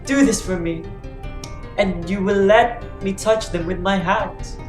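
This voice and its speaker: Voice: medium pitch, unhurried, lightly acted. An actor playing a blinded, grieving fallen king who pleads solemnly in a classical tragedy reading. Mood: sorrowful pleading. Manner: mournful, pleading, solemn declamation. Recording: roomy echo, faint music behind.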